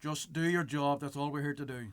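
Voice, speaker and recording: An actor playing a cold, officious eviction bailiff who speaks in formal legalese in a radio play. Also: male voice, on the high side, fairly even intonation, brisk, clear, resonant tone, close to an everyday voice. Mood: officious, firm.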